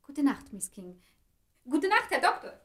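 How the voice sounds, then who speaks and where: High-voiced, polite secretary. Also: female voice, sing-song, a little slow, a bit bright, heavily acted. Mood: apologetic, polite. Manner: courteous, businesslike. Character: polite, dutiful female secretary, apologetic and efficient. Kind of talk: German radio play acting.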